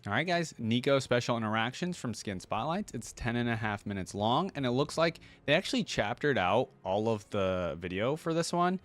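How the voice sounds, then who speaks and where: Lively man reacting casually. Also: mid-range voice, moderate pace, few pauses. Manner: informal, chatty, easily amused. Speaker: reaction video host.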